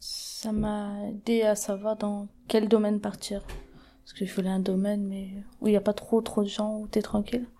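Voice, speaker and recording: Hesitant young Frenchwoman, mellow voice. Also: medium pitch, level tone, normal speed. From Paris region, France. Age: 20s. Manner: plain spoken, hesitant with many fillers. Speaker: interviewee. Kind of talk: documentary interview testimony.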